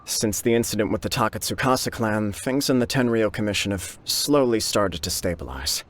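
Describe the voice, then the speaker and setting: A man's voice: lowish voice, moderate pace, close to an everyday voice. A man voicing a modest, earnest young nobleman who is acting head of his clan, humble, polite and a little bashful. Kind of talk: video game voice acting.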